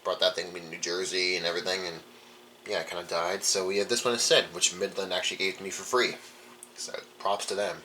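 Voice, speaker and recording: A young man with a low voice talks informally, like a hobbyist showing off a collection. He rambles casually from item to item. There is some noise behind him in the room. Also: flat delivery, brisk, bright, forceful tone, rough recording. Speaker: radio collector. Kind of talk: casual show-and-tell vlog. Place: indoor room.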